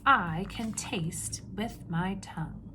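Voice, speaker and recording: A woman reads to young children in an unhurried, instructive way, at a medium pitch. She puts simple questions to the listener and sets key words apart clearly. Faint noise sits behind her voice. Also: sharp tone. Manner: instructive, asks simple questions and pauses before confirming answers. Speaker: teacher reading a picture book aloud to young children.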